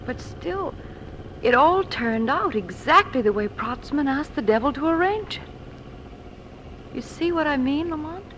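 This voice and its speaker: High-voiced, uneasy young lady. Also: lively intonation, measured pace, a bit bright. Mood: puzzled, uneasy. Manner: hesitant, questioning. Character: uneasy, inquisitive young lady companion to a sleuth. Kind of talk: 1940s radio drama acting. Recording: noisy old radio recording.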